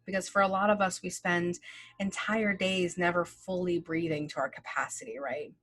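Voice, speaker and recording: A woman leading a guided breathing meditation, speaking slowly and gently with long pauses and casual fillers. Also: lowish voice, steady tone. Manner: slow, gentle, soothing instructions with long pauses. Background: soft background music.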